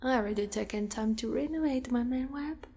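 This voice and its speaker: Casting: woman to voice a possessive, doting spider-girl monster for ASMR roleplay, high soft breathy voice, slow coaxing delivery, cavernous reverb. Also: close to an everyday voice. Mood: tender, soothing. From Italy. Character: possessive, doting female spider monster who kidnaps a mate.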